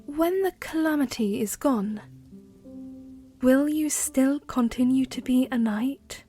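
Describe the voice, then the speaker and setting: A woman playing an earnest, gentle young princess in an audio drama, speaking in a soft, tentative, measured manner. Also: high voice, soft background music. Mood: hesitant, concerned.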